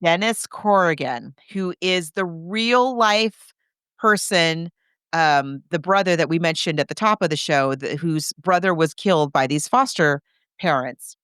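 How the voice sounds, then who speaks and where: Smooth, low-voiced woman recapping casually. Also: a little slow, fairly bright tone, no room sound, clean studio sound. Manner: conversational storytelling, acting out characters' reactions. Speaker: podcast co-host. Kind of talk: casual film recap chat.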